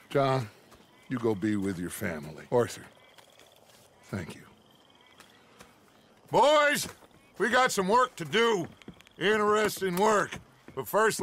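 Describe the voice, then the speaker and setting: An actor playing a talkative, theatrical middle-aged outlaw gang leader in a video game cutscene. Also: male voice, mid-range voice, sing-song, slow pace, long pauses, a bit bright, a little hiss or hum, full character voice. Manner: expansive, theatrical.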